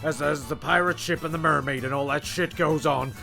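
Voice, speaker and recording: Gravelly male narrator, middle pitch, rambling, stammering, sweary, comic, light music behind. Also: steady tone, fairly quick, pushed and bright. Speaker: narrator and host. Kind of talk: loose comic narration of an audio sketch show. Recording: homemade audio with faint music behind.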